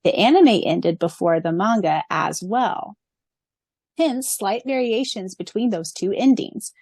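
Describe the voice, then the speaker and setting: A woman's voice: mid-range voice, unhurried, darkish tone, husky, no room sound, clean studio sound. A woman co-hosting a casual fan podcast who reads listener questions aloud and laughs often. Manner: giggly, laughs often between remarks. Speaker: podcast co-host.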